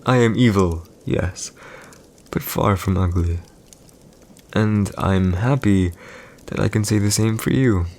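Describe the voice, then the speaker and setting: Man acting a deep, slow, haughty villain emperor. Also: a little mellow. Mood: teasing, smug. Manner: formal, archaic, measured, condescending diction. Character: haughty villain emperor, commanding, teasing new husband. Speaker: voice actor in audio roleplay. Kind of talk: ASMR boyfriend audio roleplay acting.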